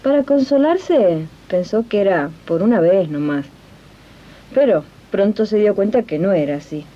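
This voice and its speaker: A woman's voice: medium pitch, animated, normal speed, lightly acted. A woman playing a plain-spoken Argentine domestic worker and union comrade who narrates a 1980s radio drama conversationally. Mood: warm, storytelling. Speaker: narrator and host of radio serial. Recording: dull 1980s archive radio tape.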